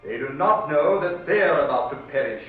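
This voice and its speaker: A man's voice: a little high, expressive, moderate pace, phone-quality sound, close to an everyday voice. An actor playing a grave, bitter ghostly sea captain guarding his treasure, solemn and commanding in old-time horror radio style. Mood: bitter, grim. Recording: band-limited old radio, echoey, distant, music underneath.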